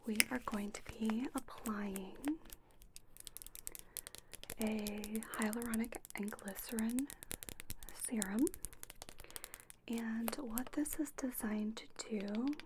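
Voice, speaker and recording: A woman whispers in a soft ASMR style, playing a spa owner who teaches a trainee. Her voice sits on the high side, and she explains each step patiently and gently. There is clear noise in the recording, with small clicking sounds. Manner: gentle, patient step-by-step instruction with frequent okays.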